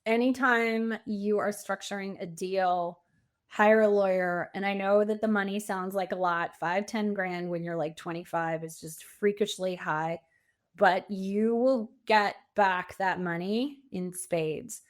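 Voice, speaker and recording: A woman in her fifties speaks as a guest in an interview. Her delivery is conversational and animated, with emphatic encouragement and a mid-range pitch. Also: a little slow. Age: 50s. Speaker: podcast guest, coach and speaker.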